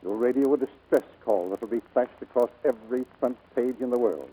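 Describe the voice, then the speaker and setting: A man playing a commanding, formal US Navy admiral in a 1940s radio drama, speaking gravely and deliberately. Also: mid-range voice, natural voice. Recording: muffled vintage 1940s radio recording.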